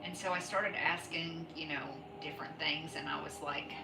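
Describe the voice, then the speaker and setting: A woman's voice: low voice, fairly even intonation, moderate pace, gravelly, recorded from a distance. A middle-aged woman chatting casually while knitting on a craft livestream, rambling and interrupting herself often. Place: home. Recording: echoey room, voice off-mic, music behind.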